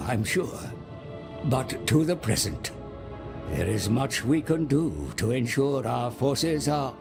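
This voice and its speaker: Game cutscene narrator: cunning, servile schemer, slow portentous delivery, gritty fry, music bed. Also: male voice, medium pitch. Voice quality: gravelly. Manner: measured, portentous, coaxing. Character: scheming, servile mortal advisor to daemon lords.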